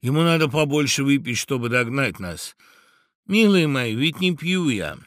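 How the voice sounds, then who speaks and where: A man voicing a small, polite and eager storybook mouse in an earnest, squeaking manner. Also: expressive, moderate pace, a bit bright, no echo, studio quality, lightly acted. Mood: polite refusal. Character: small polite eager male mouse, humble party guest.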